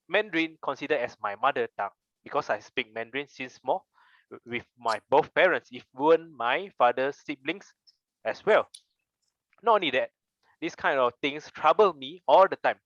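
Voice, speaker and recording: A man's voice: mid-range voice, a bit bright, no echo, lo-fi sound. A young man with a Malaysian English accent, giving an earnest prepared speech with personal stories and rhetorical questions. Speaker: club member giving a speech.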